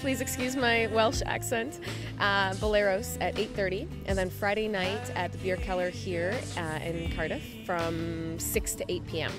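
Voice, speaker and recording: A woman's voice: mid-range voice, moderate pace, music in the background. A young woman with a Canadian accent, a musician chatting upbeat and casually in an outdoor interview. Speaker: singer-pianist being interviewed. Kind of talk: casual chat. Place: outdoors on a city square. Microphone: handheld microphone.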